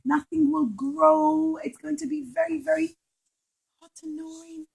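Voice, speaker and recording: Young male adviser, voiced by a woman, high pitch, earnest, emphatic, repetitive, children's storybook prophecy. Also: fairly even intonation, a little mellow, poor audio. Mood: earnest, urgent. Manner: emphatic, repetitive, drawn-out key words.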